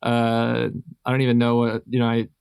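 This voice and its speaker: A man co-hosting a podcast in casual chat, with an informal, halting and wry way of talking. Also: medium pitch, completely flat delivery, creaky, darkish tone, smooth, dry recording. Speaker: podcast co-host.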